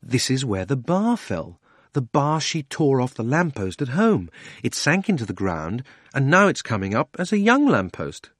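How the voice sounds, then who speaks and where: A man's voice: medium pitch, sing-song, normal speed, no echo, studio quality. A man voicing a determined young boy who talks earnestly and indignantly. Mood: eager.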